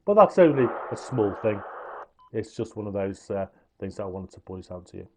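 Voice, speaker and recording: A man's voice: lowish voice, sing-song, normal speed, background noise. Man giving a step-by-step gadget demonstration. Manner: step-by-step explaining. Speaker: product reviewer. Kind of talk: hands-on demonstration of a gadget, explained informally.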